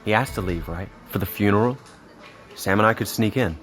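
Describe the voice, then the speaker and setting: A man's voice: on the low side, a little fast, fairly bright tone, faint music behind, natural voice. An American man playing a devoted, impulsive teenage boy in an audio drama, blunt and intense in his delivery. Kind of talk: naturalistic audio drama dialogue.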